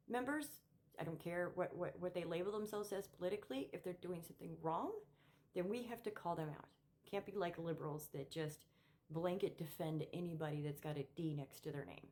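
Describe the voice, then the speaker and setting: A middle-aged woman with a low voice speaks off the cuff to her viewers. She is opinionated and emphatic, and her delivery halts and repeats words as she builds each point. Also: darkish tone. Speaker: political commentary vlogger. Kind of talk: unscripted opinion monologue to camera.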